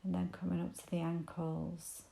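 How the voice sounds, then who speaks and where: A woman with a mellow, creaky voice guides a meditation gently and soothingly. Her delivery stays very even and invitational, with unhurried pauses between phrases. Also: on the low side, echoey room. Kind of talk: guided body scan meditation.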